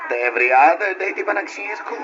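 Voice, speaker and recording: An actor playing a greedy, brash moneylender husband who gloats and chuckles in an old radio drama, speaking with an Ilocano accent. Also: male voice, sits high, expressive, quick pace. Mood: gloating, menacing. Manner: gloating, swaggering, often chuckling. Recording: muffled, noisy old radio drama recording.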